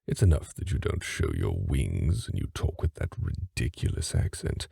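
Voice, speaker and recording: Guy doing a haughty cold fae dad for an audio drama, super low dark voice, talks slow n formal. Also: big pitch swings, gravelly, breathy edge, no room sound, heavily acted. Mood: cold disdain. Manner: haughty, formal, condescending, deliberate. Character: haughty aristocratic fae father, cold clan lord. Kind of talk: dramatic audio roleplay acting.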